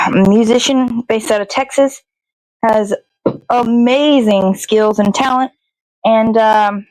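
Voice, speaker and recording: A woman's voice: on the high side, takes it slowly, no echo, poor audio. A woman hosting a casual puppet show livestream, chatting in an informal, rambling way.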